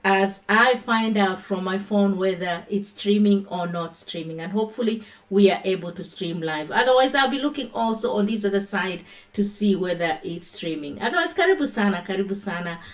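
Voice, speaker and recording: A woman's voice: medium pitch, animated, moderate pace, few pauses. A Kenyan woman hosting a casual livestream from her phone, greeting viewers by name and moving into a Bible talk. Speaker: livestream host.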